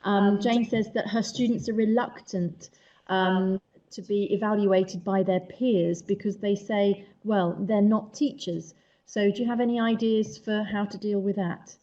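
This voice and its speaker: Mellow woman on a muffled line. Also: mid-range voice, unhurried. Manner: calm, reads out questions. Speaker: webinar host. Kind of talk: moderating questions.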